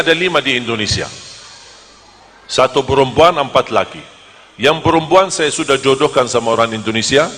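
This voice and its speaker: A man from Medina, preaching to a live audience in a lively, joking storytelling manner. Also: on the high side, in a large hall, a little hiss or hum. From Medina, Saudi Arabia. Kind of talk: humorous sermon to a live audience.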